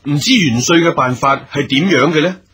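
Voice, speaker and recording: A man's voice: a little high, normal speed, sharp tone, slightly rough, exaggerated voice. A male actor playing a loyal, brave junior general in a period radio drama, earnest and deferential in Hong Kong Cantonese. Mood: deferential, attentive. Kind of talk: theatrical radio drama acting.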